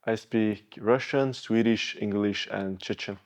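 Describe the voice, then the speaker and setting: Low, even-voiced teenage boy. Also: a little slow, dull audio. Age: teens. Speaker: student.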